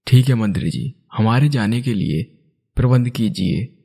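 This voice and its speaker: A man's voice: medium pitch, expressive, measured pace, gravelly, warm tone, slightly rough, full character voice. A man playing an ageing, courteous and anxious king in a cartoon moral story, speaking formally. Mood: courteous, composed. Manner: formal, stately storybook delivery. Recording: music under the voice.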